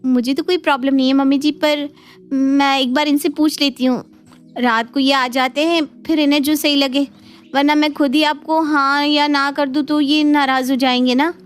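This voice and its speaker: Woman acting a high-pitched, put-upon daughter-in-law. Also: little pitch movement, moderate pace, natural voice. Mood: polite, evasive. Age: young adult. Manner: deferential on the surface, exasperated. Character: polite but quietly resentful young daughter-in-law. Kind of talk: Hindi cartoon family drama acting. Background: faint background music.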